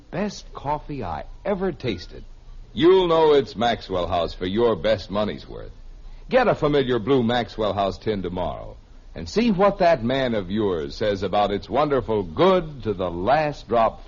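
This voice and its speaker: A man's voice: lowish voice, darkish tone, slightly rough. An American man reading a scripted commercial in the style of a 1950s radio announcer. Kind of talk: 1950s radio advertising pitch. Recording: muffled vintage radio recording.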